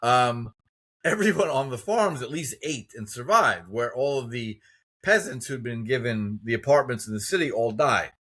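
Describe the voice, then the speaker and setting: A man's voice: mid-range voice, animated, normal speed, sharp tone, husky. A man in his fifties from the United States hosting an interview podcast, telling stories in an emphatic, opinionated way. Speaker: podcast host. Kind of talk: conversational interview.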